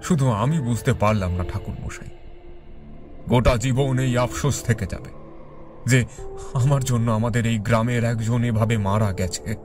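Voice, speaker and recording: Male actor as sombre villager, mid pitch, earnest, respectful, audio drama, faint music. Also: moderate pace, close to an everyday voice. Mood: remorseful. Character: remorseful male villager, respectful and heavy-hearted. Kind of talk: audio story acting.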